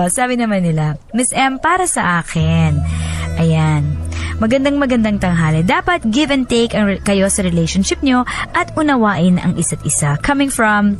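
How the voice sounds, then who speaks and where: A woman radio host reading listener stories and messages in an expressive, sentimental way. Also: on the high side. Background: music bed.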